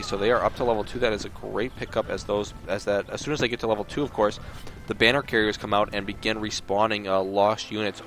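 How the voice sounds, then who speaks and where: A man with a slightly scratchy voice giving analytical, explanatory commentary on a strategy game match. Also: lowish voice. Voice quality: a little scratchy. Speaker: esports replay commentator. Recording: game music under the voice. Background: video game music.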